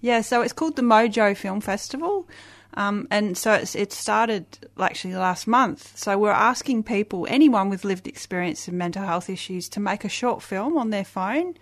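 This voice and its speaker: A woman with a loud voice, a guest on a radio interview, talking eagerly with many restarts and fillers. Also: medium pitch, moderate pace. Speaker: guest, community festival organizer.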